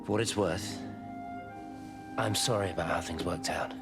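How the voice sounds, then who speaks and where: A man's voice: deep voice, moderate pace, over music. An actor playing an earnest, troubled British man with a hesitant manner in a naturalistic video game drama. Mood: awkward, wistful.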